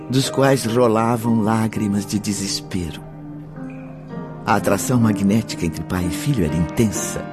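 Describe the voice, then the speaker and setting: A male narrator tells a dramatic radio story. His voice is mid-pitched, set over clear background music.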